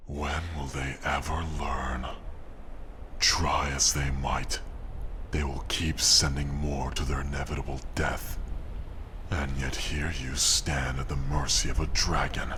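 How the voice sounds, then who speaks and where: An extremely deep, breathy dragon in a fantasy ASMR roleplay. He is haughty and menacing, rumbling out condescending taunts at a slow, deliberate pace. Faint noise sits behind the voice. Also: level tone, sharp tone, exaggerated voice. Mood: cold contempt. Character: menacing, haughty male dragon, mocking and possessive.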